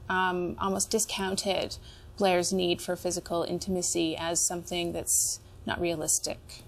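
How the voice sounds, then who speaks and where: A woman in couples therapy, talking reflectively in a candid, deliberate way. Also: lowish voice. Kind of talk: reflective conversation.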